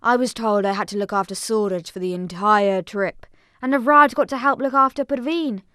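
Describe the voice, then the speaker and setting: A woman playing an eager young Punjabi village girl, telling her story in expressive first-person dramatic narration. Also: medium pitch, normal speed, no echo, studio quality, close to an everyday voice. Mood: lively.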